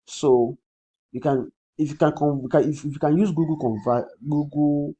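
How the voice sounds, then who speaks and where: A man with a Nigerian accent, a native Yoruba speaker, hosting a casual crypto livestream in a husky voice, repeating phrases and often checking in with "okay". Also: fairly high pitch, fairly even intonation, moderate pace, mellow, poor audio. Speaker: crypto trading livestream host. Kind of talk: casual livestream commentary, answering comments.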